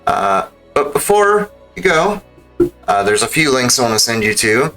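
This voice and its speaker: A man's voice: medium pitch, very animated, moderate pace, bright, forceful tone. A man speaking as a game livestreamer, chatting casually in an informal, rambling, slangy way. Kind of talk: casual livestream sign-off chat. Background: background music.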